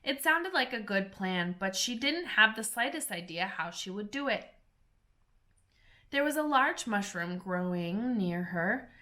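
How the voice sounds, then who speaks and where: A woman reading a children's storybook aloud in a slow, careful, expressive way. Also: mid-range voice. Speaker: storybook reader.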